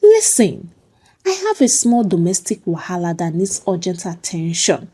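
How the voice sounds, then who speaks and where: A woman's voice: on the low side, big pitch swings, moderate pace, dark tone, breathy edge. A woman voicing a bold, sassy, protective friend with an emphatic, teasing manner in a told story. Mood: playful, sassy.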